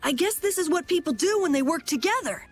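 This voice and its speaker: Brisk young girl for anime game dub: high, lively, bossy, quick to fluster; music underneath. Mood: earnest.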